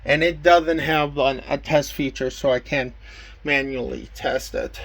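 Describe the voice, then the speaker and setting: A man's voice: medium pitch, steady tone, unhurried, a bit bright. A man casually presenting and demonstrating items from his collection in a plain, matter-of-fact way. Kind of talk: casual show-and-tell demonstration.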